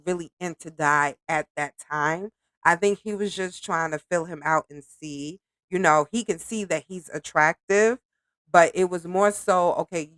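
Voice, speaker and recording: A woman's voice: lowish voice, dry recording, studio quality. Chatty woman with a nasal, stuffy voice. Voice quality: slightly nasal. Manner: chatty, animated, informal slang. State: congested from allergies. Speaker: podcast host reacting to a reality show. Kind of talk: casual reaction commentary.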